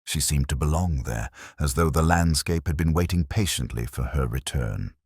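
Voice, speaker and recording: Man, extremely low voice, dry close sound, measured melancholy storytelling, expressive intonation, literary mystery narrator. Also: slightly rough, no room sound. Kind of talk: scripted first-person mystery narration.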